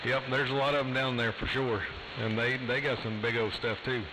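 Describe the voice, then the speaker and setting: A man's voice: medium pitch, level tone, fairly quick. A man chatting casually in folksy rural phrases as a CB radio operator. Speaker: CB radio operator, channel regular. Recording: very noisy, hissy live CB radio stream.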